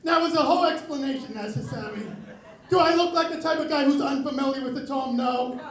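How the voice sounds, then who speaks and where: A young man doing a self-mocking stand-up set with a very raspy, high voice, on a club stage. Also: fairly quick. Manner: self-mocking deadpan delivery. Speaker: stand-up comedian. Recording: echoey club with crowd. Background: audience laughter.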